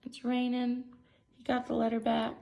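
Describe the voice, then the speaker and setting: A woman's voice: on the high side, monotone, normal speed, gravelly, a little mellow. A woman reading a picture book aloud to children, expressively explaining the pictures as she goes. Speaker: story reader for children. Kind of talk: picture book read-aloud with simple commentary.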